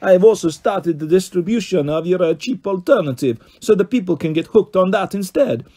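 A man doing a high Italian greyhound crook. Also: animated, a little fast, dark tone. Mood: boastful. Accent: put-on Italian. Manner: high, pushy, broken English. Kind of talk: storybook reading.

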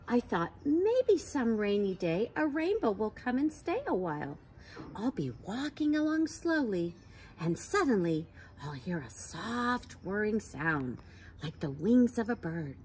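A woman in her forties reading a picture book aloud to young children outdoors, slow and sing-song, sounding out letters and gasping for effect. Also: mid-range voice, big pitch swings, a little mellow, a little hiss or hum. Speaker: storytime reader for children. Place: backyard patio outdoors by a wooden fence.

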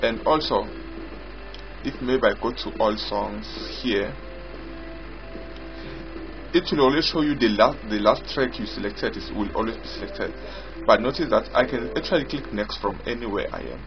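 A low-voiced man walks through a piece of software he built, speaking off the cuff. He explains in short, halting phrases and often repeats himself. The audio is dull and lo-fi, with loud music playing behind him. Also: vocal fry. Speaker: software developer demonstrating own app. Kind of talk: unscripted screen-recording software walkthrough.

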